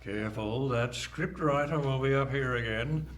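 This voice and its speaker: A man's voice: medium pitch, normal speed, close to an everyday voice. A man playing an exasperated, mocking narrator in a comic radio play, sardonic and theatrical. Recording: slightly noisy live hall recording.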